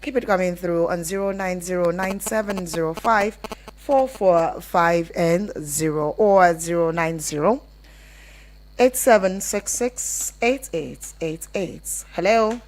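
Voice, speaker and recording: A Nigerian woman hosts a live phone-in on the radio. Her voice sits a little low for a woman as she talks to callers and listeners. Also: takes it slowly, darkish tone, rough recording. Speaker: radio phone-in show host. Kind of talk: live call-in radio hosting.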